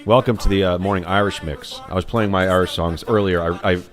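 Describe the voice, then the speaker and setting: A man's voice: sits low, steady tone, fairly quick. A man from New York hosting a live music stream, chatting casually and rambling with lots of "uh" and restarts. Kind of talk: casual chat reading viewer comments. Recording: live stream with loud music playing behind. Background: loud recorded Irish music.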